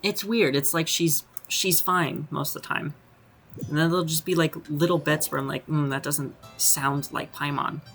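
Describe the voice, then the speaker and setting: A woman doing casual playthrough commentary in a very low voice with plenty of vocal fry. She talks informally, like a streamer thinking aloud, with soft game music behind her. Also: fairly even intonation, normal speed. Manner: informal, sweary.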